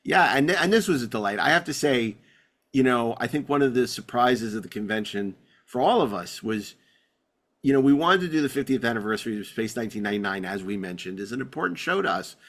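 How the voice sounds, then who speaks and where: A man's voice: medium pitch, lively intonation, a little fast, fairly bright tone. A man hosting a podcast in casual banter, rambling along with enthusiasm and wry humor. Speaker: podcast host.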